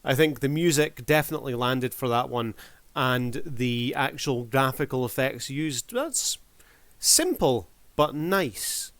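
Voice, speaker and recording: A man's voice: medium pitch, expressive, unhurried, fairly bright tone, dry recording. A man hosting a review video, giving casual commentary in a showman-like manner with formal addresses to the audience.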